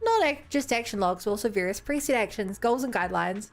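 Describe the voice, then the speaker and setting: A woman's voice: mid-range voice, animated, fast talker, soft background music. A woman from New Zealand on a gaming livestream, chatting to viewers and reading game text aloud. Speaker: game streamer.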